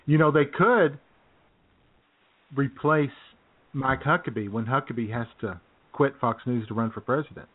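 A man's voice: mid-range voice, normal speed, pauses often, breathy edge. A man co-hosting a radio show with casual, wry joking banter and frequent chuckles, heard over a phone-like connection. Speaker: co-host of a political comedy radio show. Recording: tinny phone-line internet radio audio.

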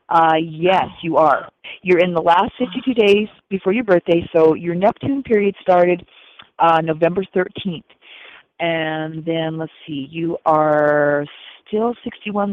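A woman's voice: on the low side, no room sound. Upbeat woman co-host reading cards on air, dull dry sound. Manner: quick, upbeat, thinks aloud. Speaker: card reader, co-host. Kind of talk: call-in radio chat.

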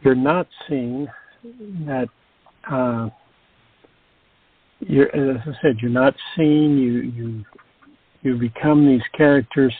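An older man talking casually as an interviewed actor, deliberate and emphatic, repeating phrases for effect. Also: medium pitch, fairly even intonation, slightly rough, dry recording. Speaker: radio drama actor being interviewed. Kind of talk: casual reflective chat. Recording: dry, tinny phone-like band.

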